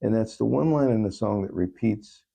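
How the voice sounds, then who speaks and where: A man's voice: fairly low pitch, normal speed, creaky, warm tone. A man speaking earnestly as an interview guest, reflecting on his songwriting and repeating phrases for emphasis. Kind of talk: reflective interview talk about own songwriting.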